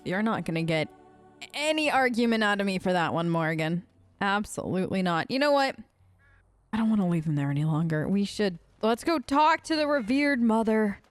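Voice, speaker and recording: A woman's voice: mid-range voice, very animated, normal speed, no echo, faint music behind. A woman hosting a gaming video, role-playing her side of the dialogue in a chatty, wry, informal way. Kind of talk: let's play role-play, casual in-character dialogue.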